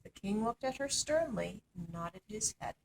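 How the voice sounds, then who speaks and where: A woman reading a children's story aloud as an expressive narrator. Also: medium pitch, normal speed, gravelly, noisy background. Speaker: storytime reader.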